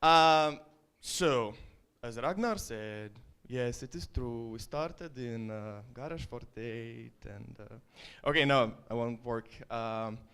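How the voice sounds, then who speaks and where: A man's voice: mid-range voice, slow pace, few pauses, fairly bright tone, clear, resonant tone. A man with an Estonian accent giving a loose, joking startup talk to a live audience, full of ums and uhs. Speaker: startup founder presenting. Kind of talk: conference stage talk.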